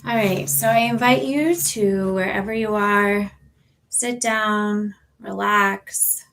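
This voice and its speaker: Slow, creaky-voiced woman guiding meditation. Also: mid-range voice, fairly even intonation, fairly bright tone. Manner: soothing, measured, with long pauses. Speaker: yoga nidra and sound healing teacher. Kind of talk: guided meditation.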